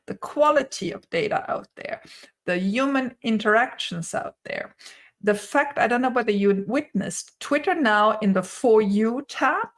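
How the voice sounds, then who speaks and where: A woman's voice: fairly high pitch, lively intonation, measured pace. Middle-aged woman, non-native English, explaining her views. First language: not English (English is her third language). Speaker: guest, retail investor commentator. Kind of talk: conversational opinion and analysis in an interview. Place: indoor room at home, on a video call. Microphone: video call.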